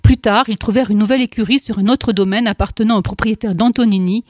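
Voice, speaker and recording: A woman hosting a radio show, reading an article aloud in a measured, careful way with occasional apologetic asides, in a studio. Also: mid-range voice, steady tone, a little mellow, no room sound.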